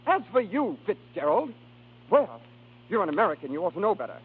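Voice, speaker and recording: Indignant American youth of nineteen: high, very rough husky voice, 1930s radio play, thin sound. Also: male voice, sing-song, brisk, narrow, tinny audio, heavily acted. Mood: scandalized, scolding. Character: indignant nineteen-year-old American half brother, bossy and boyish.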